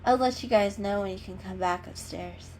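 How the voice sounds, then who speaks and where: A woman's voice: mid-range voice, normal speed, a little mellow, faint music behind, close to an everyday voice. A woman voicing a bookish female cat in a storybook, polite, proud and a little bashful. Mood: politely proud.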